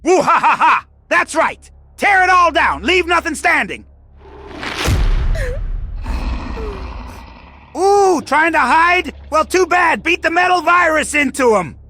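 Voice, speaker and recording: A man voicing a pompous, megalomaniac mad genius villain in a theatrical comic dub, gravelly and forceful. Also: very high voice, lively intonation, unhurried, slight background noise, full character voice. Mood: gloating.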